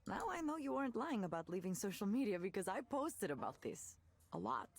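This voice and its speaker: A woman's voice: medium pitch, sing-song, brisk. A woman playing a candid, world-weary former tech worker in a visual novel, speaking in a wry, reflective way. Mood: frank, reflective. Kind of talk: naturalistic visual novel voice acting.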